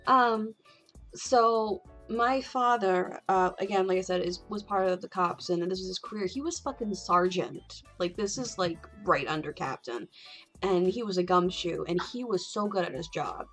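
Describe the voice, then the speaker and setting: Female livestream host, lowish register, candid rambling chat with salty language, light music underneath. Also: moderate pace, fairly bright tone. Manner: candid, rambling, frequently swearing.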